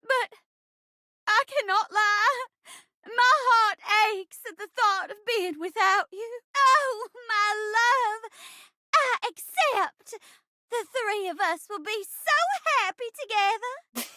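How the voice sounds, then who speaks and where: Very high-pitched, melodramatic young bride. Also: female voice, takes it slowly, sharp tone, no echo, clean studio sound, full character voice. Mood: lovestruck, yearning. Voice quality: sweet, girlish, very high. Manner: grand stage declamation, breaking into bashful chatty asides. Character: melodramatic, lovestruck theatrical young bride, sweet and giddy. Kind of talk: exaggerated romantic stage-play acting in a video game.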